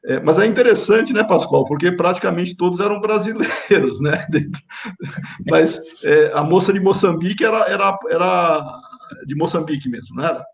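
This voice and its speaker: A man's voice: high voice, moderate pace, lo-fi sound. A man hosting a live online conversation, prompting his guests in a friendly way. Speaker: host. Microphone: video call.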